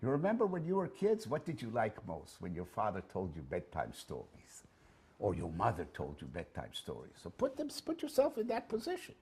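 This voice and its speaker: A middle-aged man with an Israeli accent, a guest speaker telling anecdotes to an audience in a lively, swinging way. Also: a little fast, breathy edge. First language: Hebrew. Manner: lively storytelling, big swings in pitch and loudness, laughs at own jokes. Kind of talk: animated public talk with anecdotes. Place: event hall in front of an audience.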